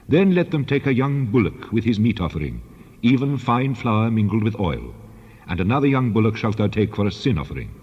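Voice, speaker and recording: A man performs a solemn male deity in a dramatized scripture recording, issuing commands in a measured, declamatory way. The voice sits low and rings in a long hall reverb. The sound is somewhat dull and lo-fi. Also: darkish tone, breathy edge. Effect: heavy reverb on the voice.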